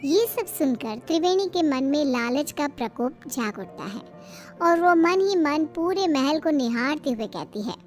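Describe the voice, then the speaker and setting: A woman reads a scripted moral tale as a storyteller. Her voice is extremely high in pitch. Faint music plays behind the narration. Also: animated, unhurried.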